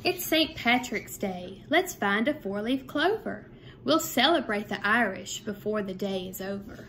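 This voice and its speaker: A woman, a grade-school teacher speaking in measured, simple phrases as in a read-aloud for young children. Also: medium pitch, animated, fairly bright tone. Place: small room at home, indoors. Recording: clear background room noise.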